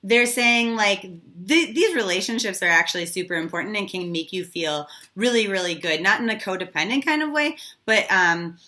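A woman in her thirties, slightly hoarse from losing her voice, giving a casual, chatty tarot reading straight to viewers. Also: medium pitch, expressive, normal speed, hardly any pauses, bright, forceful tone. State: recovering from a lost voice, slightly hoarse. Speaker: tarot reader and video host.

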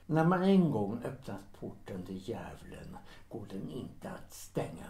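An actor playing a resigned, deferential servant with quiet bitterness, formal and guarded, in a period audio drama. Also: male voice, on the low side, big pitch swings, gravelly, a little hiss or hum. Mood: ominous warning. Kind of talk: period audio drama acting, 1930s setting. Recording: roomy, echoing sound.

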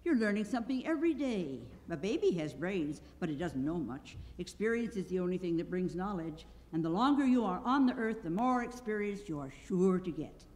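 A woman's voice: on the low side, very animated, fairly quick, few pauses, darkish tone. A woman voicing a meek little old humbug wizard with a hesitant, kindly, evasive manner in a storybook reading. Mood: mild, reassuring. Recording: long hall reverb, clear background noise.

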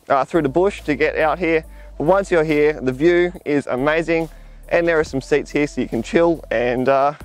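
Chatty young Australian man outdoors. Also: a little high. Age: young adult. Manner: chatty, relaxed, filler-heavy, gestures while walking. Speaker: travel vlogger. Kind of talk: casual outdoor vlog. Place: outdoors at a sunny seaside lookout, jetty and beach. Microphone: camera on a tripod a few metres away.

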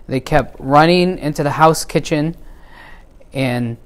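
A middle-aged man reads a children's story aloud in a measured, expressive way. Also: fairly high pitch. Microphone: lapel mic.

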